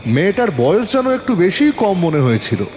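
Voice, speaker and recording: A man narrating a detective audio story in the first person, with an expressive, dramatic storyteller delivery. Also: high-pitched, moderate pace, a little hiss or hum.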